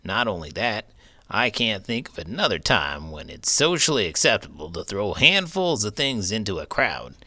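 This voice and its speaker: A man's voice: on the low side, very animated, normal speed, sharp tone, exaggerated voice. A man voicing a blunt, food-loving old cowboy sidekick in comic banter on a children's show. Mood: opinionated, enthusiastic. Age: older.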